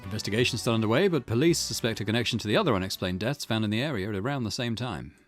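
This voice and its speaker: A man reading as a formal news reporter in a visual novel, measured and matter-of-fact. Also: lowish voice, lively intonation, faint music behind, lightly acted. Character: formal male television news reader.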